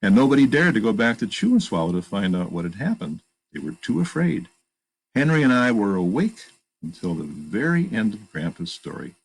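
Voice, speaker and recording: A man's voice: fairly low pitch, big pitch swings, fairly quick, creaky, breathy edge, rough recording. A man reading a children's picture book aloud, expressively, with plain asides about the pictures. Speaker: storytime reader and narrator.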